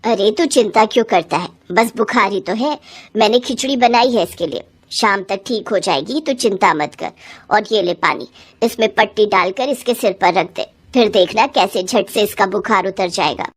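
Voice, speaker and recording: A woman's voice: medium pitch, normal speed, pitched below the performer's own voice, close to an everyday voice. A woman playing a grumbling stingy old mother-in-law. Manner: grumbling, complaining exclamations. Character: stingy older mother-in-law, fussy and scolding.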